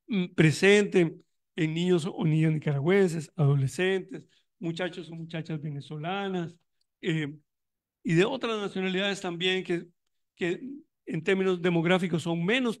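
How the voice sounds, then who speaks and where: A man giving a conference talk on education, thoughtful and reflective, with frequent restarts and fillers. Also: on the high side. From Costa Rica. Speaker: panelist on migration in education; university educator running tutoring programs.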